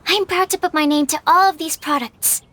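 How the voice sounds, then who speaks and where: Game role: timid young alchemist stallkeeper, high-pitched, earnest and hesitant, soft music behind. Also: female voice, fairly even intonation, a little fast. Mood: nervous, polite. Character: shy, polite young female alchemist running a stall. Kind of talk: video game character voice acting.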